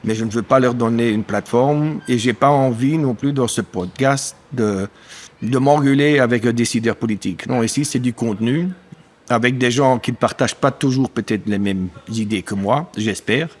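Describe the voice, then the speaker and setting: A man in his sixties, a dairy farmer from German-speaking Belgium with a German accent, speaking French as a second language, haltingly searching for words in a conversational podcast interview. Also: mid-range voice, a little mellow. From German-speaking eastern Belgium.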